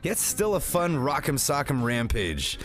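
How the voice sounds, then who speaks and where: A man's voice: mid-range voice, sing-song, measured pace, fairly bright tone, faint music behind. A man narrating a comedic film review as host, with a jokey, theatrical, slangy delivery.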